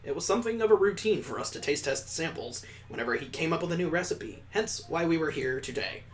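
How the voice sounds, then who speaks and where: Male game streamer, fairly high voice, expressive story reading with wry asides. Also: fast, faint music behind. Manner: theatrical, breaks off for wry asides. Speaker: video game let's play host. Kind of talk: expressive read-aloud of a visual novel with asides.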